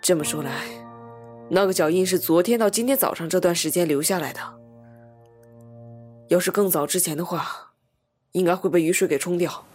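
A keen young assistant in a radio mystery, played by a woman, earnest and analytical. The voice is husky and rather low, with some music behind. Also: moderate pace, pauses often, a little mellow, natural voice. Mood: reasoning. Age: young adult. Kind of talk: radio drama acting.

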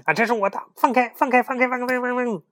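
A man voicing a chubby young male chick who is jumpy and secretive, crying out in a high, shrill voice. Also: very animated, normal speed, no echo, studio quality. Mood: panicked.

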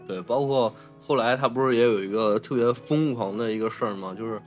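A man's voice: medium pitch, a little fast, faint music behind. A man, talking casually and enthusiastically about film as a podcast host, often repeating himself.

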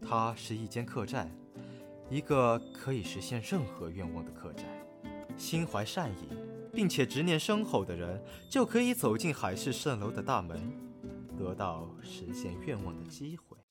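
A man's voice: on the low side, very animated, normal speed. A man playing a storyteller, narrating a legend in a dramatic, scripted audio drama style. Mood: mysterious. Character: storytelling narrator of a legendary wish-granting inn. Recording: music clearly behind the voice.

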